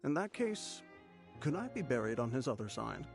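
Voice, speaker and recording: A man's voice: mid-range voice, big pitch swings, normal speed, creaky. A man playing a loyal, easygoing henchman in an anime video game, with a dryly teasing manner. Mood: wry, teasing. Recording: background music under dialogue.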